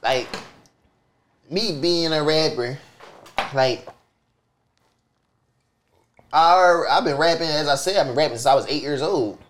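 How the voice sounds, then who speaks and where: A rapper in his twenties, a guest on a podcast, telling stories in an animated, laughing, slangy way and repeating himself. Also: fairly high pitch, a little slow, long pauses, slight background noise. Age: 20s. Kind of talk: casual storytelling on a podcast.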